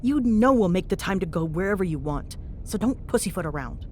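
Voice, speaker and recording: Woman acting a lively, low-pitched teasing young tsundere. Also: brisk, slight background noise, close to an everyday voice. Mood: teasing, playful. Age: young adult. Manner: sarcastic, teasing, foul-mouthed banter. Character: young tsundere ghost-hunting woman, teasing, bossy, secretly fond. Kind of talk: audio roleplay spoken to a listener.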